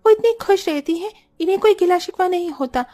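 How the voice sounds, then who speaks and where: Woman voicing a high, feisty young cartoon daughter-in-law. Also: fairly quick, warm tone. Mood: suspicious. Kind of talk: Hindi cartoon story voice acting.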